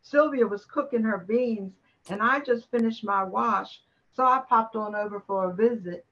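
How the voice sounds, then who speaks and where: A woman's voice: fairly high pitch, normal speed, fairly bright tone, dull audio. An older woman playing a nosy, gossipy neighbour in an amateur radio play, chatting as if telling a tale. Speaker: amateur radio drama performer. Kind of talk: old-time radio play acting.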